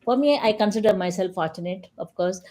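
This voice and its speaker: A woman's voice: medium pitch, brisk, dark tone. An older woman from India talking earnestly on an online panel, phrasing her points carefully with some hesitation. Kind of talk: earnest panel talk on a livestream. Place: remote online livestream call.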